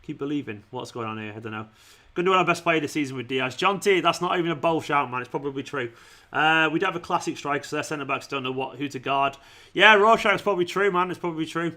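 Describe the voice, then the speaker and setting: A man's voice: fairly high pitch, quick pace, sharp tone. A man hosting a football watchalong livestream, chatting casually and matily to viewers, his voice starting to go. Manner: informal matey address, often saying "man", "mate" and "pal". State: losing his voice. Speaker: fan channel livestream host.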